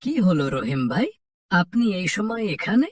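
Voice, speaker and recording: A man's voice: high-pitched, sing-song, normal speed, dry recording, studio quality, distant mic. A man playing an easygoing village watchman and husband in a cartoon folk tale, speaking in a casual, reassuring way. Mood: concerned.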